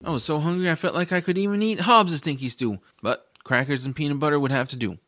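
A man's voice: on the high side, quick pace, a bit bright, dry recording, studio quality. A man reading scripted first-person narration for a family fantasy audiobook. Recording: music behind the voice. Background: music.

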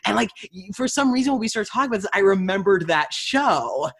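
A woman's voice: mid-range voice, fairly quick, husky. Woman chatting, acting out little quotes. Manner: animated, acts out imagined quotes. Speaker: interviewer; actor. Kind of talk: casual interview chat.

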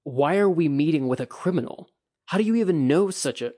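A man's voice: on the high side, expressive, fairly quick, dark tone, voice pushed up. An impatient samurai speaks in clipped, firm demands, slightly raised, as a man reads his lines. He is proud and tired of being talked down to. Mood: incredulous.

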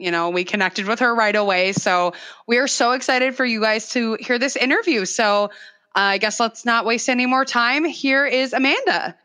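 A woman's voice: on the high side, bright, forceful tone, clear, resonant tone. A woman co-hosting a casual podcast, chatty and loose, with many fillers and restarts. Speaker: podcast co-host.

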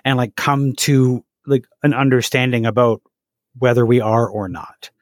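A man's voice: medium pitch, little pitch movement, a little slow, dry recording, studio quality. A man hosting a podcast, speaking hesitantly with many fillers and restarts. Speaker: podcast host. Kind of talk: podcast interview.

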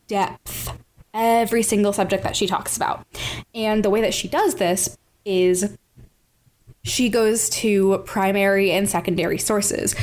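A woman's voice: mid-range voice, a little hiss or hum. A woman co-hosting a podcast in casual conversation, leaning on key words for emphasis. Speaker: podcast co-host.

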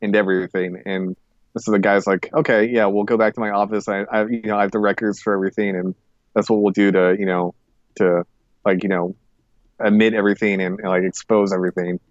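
A man's voice: on the low side, little pitch movement, fairly quick, no room sound, muffled recording. A man co-hosting a podcast in a casual review chat, rambling, hesitant and often correcting himself. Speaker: podcast co-host.

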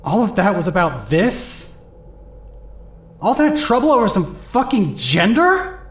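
A man narrating in second person for a fan audio drama, measured, intimate and searching. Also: high voice, big pitch swings. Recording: roomy echoey recording.

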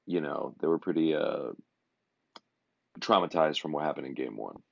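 A man co-hosting a podcast in casual chat, his voice hoarse, talking haltingly with many fillers. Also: extremely low pitch, expressive, muffled recording. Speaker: podcast co-host.

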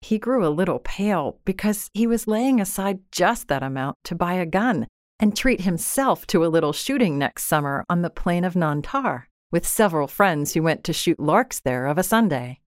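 A woman reading literary fiction as a narrator, with measured, expressive and dramatic delivery. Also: lowish voice, no room sound, clean studio sound. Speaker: audiobook narrator. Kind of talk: scripted literary story narration.

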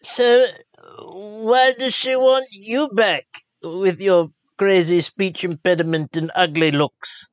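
High-voiced man, tabletop player. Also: slow, no room sound, low-quality recording. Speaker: player in a tabletop role-playing game. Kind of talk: in-character table talk.

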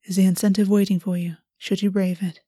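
A woman gives voice to a poised, quietly scheming male courtier in a fantasy audiobook, who speaks in a soft, breathy half-whisper. Her tone is warm and low-key, and the room sounds close and dry. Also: flat delivery, brisk, dry recording, lightly acted. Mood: explaining.

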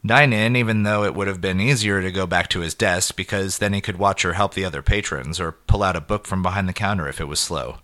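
Guy reading fiction, lowish but really crisp forceful voice, clipped expressive phrasing. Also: brisk, hardly any pauses. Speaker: narrator. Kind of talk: scripted narration of short fiction.

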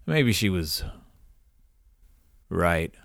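An actor playing an elderly widowed grandfather in an audio drama, courteous and old-fashioned, wistful with a gruff, wry edge. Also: low-pitched, sing-song, very slow, a bit bright, dry recording, studio quality, full character voice. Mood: wistful, reflective. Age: older.

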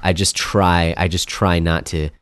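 Low, nasal man with a cold. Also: level tone, measured pace, fairly bright tone, clean tone, no room sound. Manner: rambling, self-deprecating, many fillers and restarts. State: has a cold. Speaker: podcast co-host. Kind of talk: casual chat.

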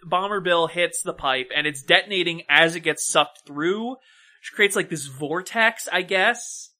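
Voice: medium pitch, a little slow, pushed and bright. A podcast co-host talking casually about a film in a wry, opinionated, sweary way.

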